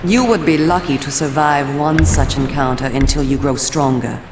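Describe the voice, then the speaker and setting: A woman playing a stern, commanding vampire resistance leader in a dramatic video game, with a solemn, measured and persuasive manner. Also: low-pitched, reverberant, noisy background, lightly acted. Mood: stern warning.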